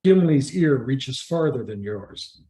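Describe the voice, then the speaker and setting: A man's voice: mid-range voice, animated, takes it slowly, warm tone. A man playing a stern, commanding ranger in a fantasy table read, solemn and dramatic. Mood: firm.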